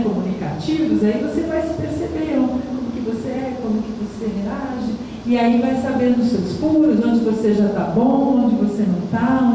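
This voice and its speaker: A woman's voice: on the high side, warm tone. A Brazilian woman giving an informal educational talk, explaining conversationally with rhetorical questions and frequent checks with listeners. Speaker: lecturer. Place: large echoey indoor room. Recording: very echoey hall, distant mic, faint noise.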